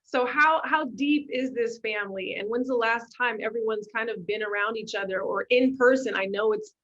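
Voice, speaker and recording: Dull-sounding, higher-voiced woman moderating. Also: moderate pace, fairly bright tone. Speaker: interviewer, moderator. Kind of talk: casual question-and-answer hosting. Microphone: video call. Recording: dull, lo-fi video-call audio.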